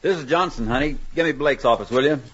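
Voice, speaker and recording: An actor playing a pushy, indignant magazine reporter in a 1950s radio drama, brash and challenging. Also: male voice, mid-range voice, quick pace, close to an everyday voice. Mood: impatient. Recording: muffled, hissy 1950s radio recording.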